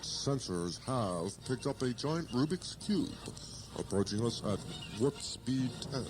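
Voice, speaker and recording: A man's voice: medium pitch, animated, slow. A man playing an earnest, easily baffled starship bridge officer in an amateur parody sketch. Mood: earnest alarm. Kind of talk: hobby sci-fi parody sketch. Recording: music behind the voice.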